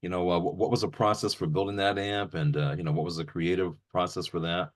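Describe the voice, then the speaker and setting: A man's voice: low voice, level tone, fast. A man hosting an interview in a casual, chatty style. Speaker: interviewer, show host.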